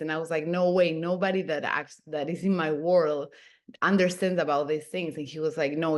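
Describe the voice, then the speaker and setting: A woman in her thirties from Peru, a podcast guest telling her own story in an earnest way, often restarting her sentences. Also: low voice. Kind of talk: casual interview.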